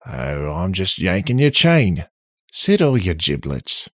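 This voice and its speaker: Guy doing a cocky robot for an audiobook, super teasing, swoopy and raspy, hams it up. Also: low-pitched, very animated, slow, dry recording, studio quality, exaggerated voice. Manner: theatrical, teasing, switches between put-on accents. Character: cheeky, shape-shifting, needling male robot with swaggering temper.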